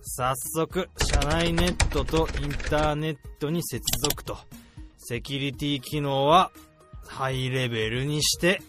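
A man's voice: on the high side, slow pace, over music. A man playing a blunt, hot-tempered AI developer in a radio drama, with dramatic, emphatic delivery. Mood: brisk, triumphant. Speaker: lead character and narrator.

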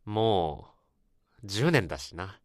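A drunk young man weighed down by guilt , played by a male actor, in a radio drama. His halting, anguished speech swings widely in pitch and repeats itself, in a dry close sound. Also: big pitch swings, no echo, exaggerated voice. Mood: tipsy, sorrowful. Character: drunk, guilt-ridden man confessing to his old friend.

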